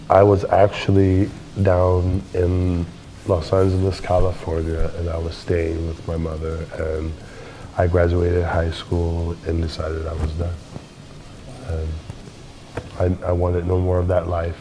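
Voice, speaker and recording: A man in his twenties giving deliberate, philosophical answers as an interview guest. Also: low voice, monotone. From born in Jamaica. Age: 20s. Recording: faint background noise.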